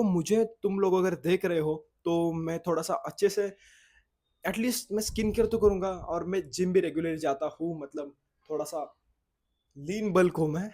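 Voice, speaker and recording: Husky young man chatting casually to camera. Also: high-pitched, dark tone. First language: Marathi. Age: young adult. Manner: rambling, loses his thread, self-corrects. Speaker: content creator. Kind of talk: casual vlog talk to camera. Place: outdoors in the sun, at a boys' hostel.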